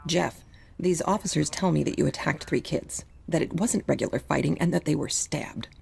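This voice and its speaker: Lady playing a mom in a horror drama, soft dark tone, lots of fry, stern but caring. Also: deep voice, moderate pace, exaggerated voice. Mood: stern, shocked. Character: stern but caring mother of teenage boy.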